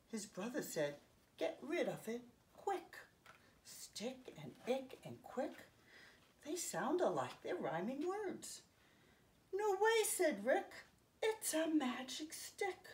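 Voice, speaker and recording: A woman in her fifties reading a picture book aloud to young children, expressively, pausing to explain things simply. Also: high-pitched, wildly swooping pitch, a little mellow, slight background noise. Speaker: children's story time host. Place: home living room, indoors.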